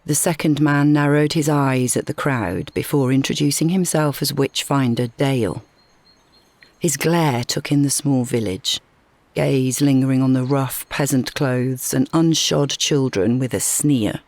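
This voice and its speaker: A woman reading a scripted short story as narrator, in a measured, dramatic storytelling manner. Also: very deep voice, a little mellow, no room sound.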